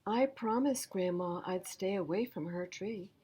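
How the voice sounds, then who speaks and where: A woman's voice: mid-range voice, a little slow, dark tone, slightly rough. A woman voicing an anxious young child who pleads earnestly for help in a storybook. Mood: pleading.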